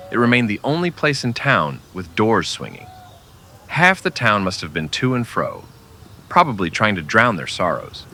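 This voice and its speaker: Deep, animated male western narrator. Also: a little hiss or hum. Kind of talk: scripted dramatic storytelling narration of a western.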